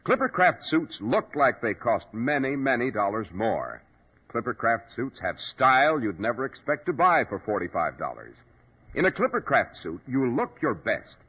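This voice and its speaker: An American man announcing in 1940s radio style, pitching to listeners with a husky voice. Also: big pitch swings, moderate pace. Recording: dull old 1940s radio recording.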